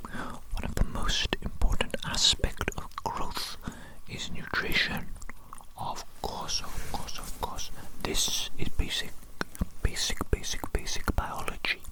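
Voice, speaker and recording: A man's voice: natural voice, rough recording. Whispering mad evil doctor, acted by a man. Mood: pleased, obsessive. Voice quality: breathy, fully unvoiced whisper. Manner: fussy, repeats words and phrases obsessively. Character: eccentric evil mad doctor tending his tiny creature. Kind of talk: whispered ASMR roleplay.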